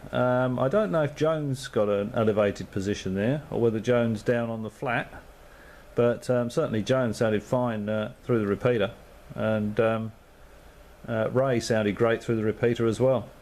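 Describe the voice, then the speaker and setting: A man's voice: medium pitch, a little mellow. An Australian man and amateur radio operator in easygoing, rambling radio chat, full of fillers and signing off with call signs. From Perth, Western Australia. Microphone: radio transceiver microphone.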